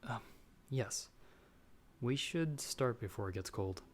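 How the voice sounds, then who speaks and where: A man's voice: mid-range voice, lively intonation, slow. Man acting as a polite young farm lad, noisy ambience. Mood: curious, polite. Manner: hesitant, courteous, old-fashioned formal phrasing. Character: polite, humble young farmer's son sheltering from a storm. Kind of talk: ASMR audio roleplay acting.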